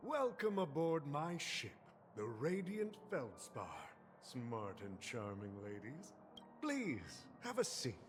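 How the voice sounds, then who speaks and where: A man's voice: fairly high pitch, wildly swooping pitch, very slow, noisy background, exaggerated voice. A man playing a genial, wily old tycoon in a video game, with a courtly, chuckling, theatrical manner. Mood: jovial welcome. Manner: effusive, courtly, chuckling showman. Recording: long reverb, loud background music.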